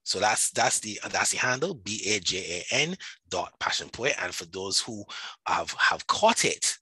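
Bright, husky Barbadian man talking casually. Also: lowish voice, animated, measured pace, no room sound. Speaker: podcast guest, writer and self-publishing coach. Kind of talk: casual conversational self-promotion.

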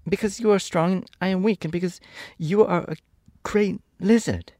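A man's voice: sits high, moderate pace, darkish tone, dry recording, clean studio sound, voice pushed up. A man voicing an earnest, timid, helpless little girl from a storybook, with a simple pleading manner. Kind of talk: storybook character reading.

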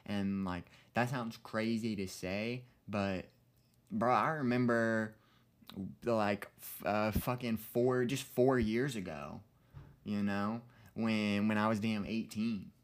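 A man's voice: fairly low pitch, steady tone, takes it slowly. A man in his early twenties talking casually and reflectively on a podcast, earnest and rambling, with plenty of slang. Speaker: podcast co-host.

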